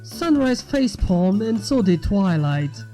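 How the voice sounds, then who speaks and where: Guy reading fanfic story, mellow voice, really lively pitch, bit of music behind. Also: measured pace. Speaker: fan fiction narrator and channel host. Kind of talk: scripted story narration over music. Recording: music under the voice. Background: background music.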